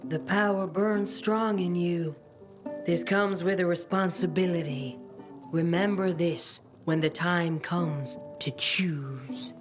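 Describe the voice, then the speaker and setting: An actress voicing a cryptic woman seer who speaks in slow, hushed, ominous prophecies. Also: lowish voice, over music. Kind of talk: video game cutscene.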